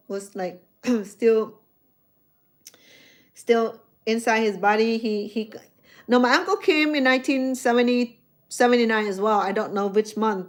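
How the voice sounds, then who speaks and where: A middle-aged woman speaking English with a noticeable Cambodian accent, talking loosely to her live-stream audience. She stumbles and repeats words as she restarts her thoughts, in an easy, chatty way. Her voice sits at an ordinary middle pitch for a woman. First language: Khmer. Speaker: chef hosting a live stream. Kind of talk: casual live-stream chat with viewers.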